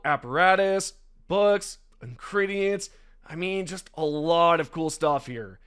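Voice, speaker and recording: A young man hosting a gaming news video, chatty and enthusiastic, his voice slightly raspy today. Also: high-pitched, fairly bright tone. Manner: enthusiastic, chatty, addresses viewers directly. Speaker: gaming channel host. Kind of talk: casual gaming news commentary over gameplay.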